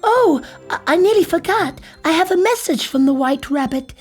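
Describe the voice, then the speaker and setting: Man putting on a very high, polite little girl. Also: animated, moderate pace, soft background music. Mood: polite, earnest. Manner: polite, earnest. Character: polite, well-mannered little girl Alice.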